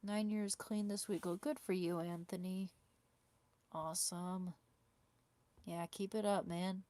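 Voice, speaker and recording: A woman's voice: on the low side, steady tone, takes it slowly, dark tone, no echo. A woman hosting a casual live stream, chatting with viewers and answering their comments through a small clip-on mic. She has a sore throat and her voice is starting to fail, and she often restarts and repeats words mid-sentence. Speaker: livestreamer. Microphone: small clip-on wireless mic.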